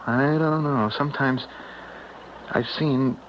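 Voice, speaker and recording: A man's voice: mid-range voice, steady tone, slow pace, lightly acted. An actor playing a superstitious, stubborn and lovestruck young small-town man in a 1970s radio drama, speaking plainly and emphatically. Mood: insistent, challenging. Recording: dull, noisy old radio broadcast recording.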